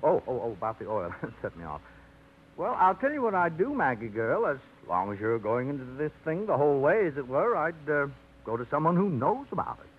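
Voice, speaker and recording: A man's voice: a little high, sing-song, fairly quick, slight background noise. An actor playing a fussy, set-in-his-ways middle-aged bachelor who rambles with old-fashioned phrases, in a 1950s radio drama. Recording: muffled old radio broadcast.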